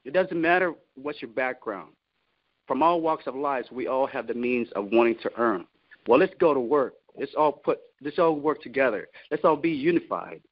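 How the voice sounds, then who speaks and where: A man's voice: mid-range voice, expressive, moderate pace, dry recording. A man giving a motivational talk to his team, exhorting them and repeating words for emphasis. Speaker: company founder and team leader. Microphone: remote call. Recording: dull, lo-fi conference call audio.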